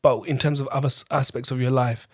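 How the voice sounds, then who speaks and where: A man with a London accent hosts a casual podcast. His mid-pitched voice is conversational and earnest, full of slang. The recording is close and dry, with no room echo. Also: steady tone, quick pace, slightly rough. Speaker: podcast host. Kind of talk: casual chat.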